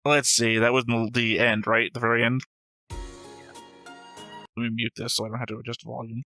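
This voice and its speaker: A man's voice: medium pitch, little pitch movement, normal speed, sharp tone, faint music behind. A man hosting a reaction show in casual banter, reading out comments and arguing his points with wisecracks.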